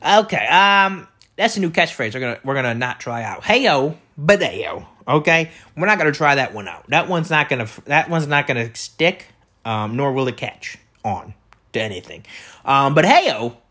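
A man with a loud, projecting voice rambling through a comedic solo monologue as a podcast host, repeating himself and tossing out rhetorical questions. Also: mid-range voice, very animated, moderate pace. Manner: repetitive, riffing with catchphrases and rhetorical questions. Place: indoor room beside an open window.

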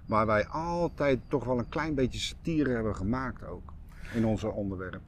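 A man's voice: mid-range voice, normal speed, a little hiss or hum. A middle-aged man chatting casually as a podcast co-host, reflective and circling back to his point. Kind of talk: casual chat.

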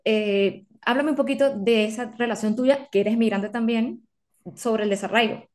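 A woman's voice: a little high, moderate pace, a little mellow. A young adult woman interviewing an author, speaking in a heartfelt, emphatic way. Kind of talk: interview question.

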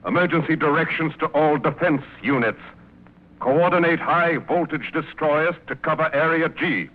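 A man's voice: fairly high pitch, moderate pace, lightly acted. A man playing a military space commander in a 1950s radio science fiction drama, clipped, official and commanding. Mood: stern, urgent. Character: brisk military space commander giving defense orders. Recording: tinny, narrow-band old radio recording.

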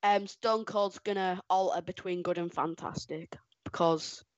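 A woman co-hosting a casual podcast, excitable and quick to laugh. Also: fairly low pitch, dry recording, dull audio. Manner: excitable, laughs often, animated outbursts. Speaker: podcast co-host. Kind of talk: casual chat ranking wrestlers.

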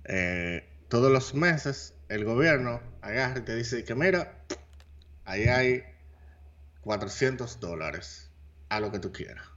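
A man's voice: medium pitch, lively intonation, slow pace, a bit bright, highs cut off. A man with a Dominican accent co-hosting a podcast, chatting loosely and dropping English words into his speech. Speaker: podcast co-host. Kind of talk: casual chat.

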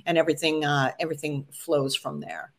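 A woman's voice: low voice, fairly even intonation, a little fast, vocal fry. A middle-aged woman giving earnest podcasting advice in an interview. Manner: earnest, advising, with laughs. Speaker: podcast guest, podcasting coach. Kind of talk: interview answers.

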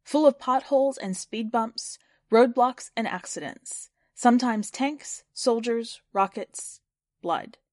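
Woman reading a weary, gentle male survivor. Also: fairly high pitch, slow, no echo, studio quality. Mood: sombre.

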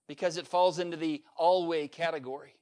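High-voiced emphatic male preacher. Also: fairly even intonation, fairly quick. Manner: emphatic preacher with swings in pitch and loudness, repetitive refrain. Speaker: pastor. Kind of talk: sermon.

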